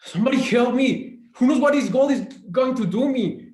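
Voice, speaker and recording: A man plays a young street thug in a drama read-through, high-pitched and echoing in a roomy space. He is cocky and belligerent, throwing out taunts in street slang. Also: lively intonation, moderate pace, a little mellow. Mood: indignant, pleading. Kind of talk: screenplay table read acting. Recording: roomy, echoing home recording.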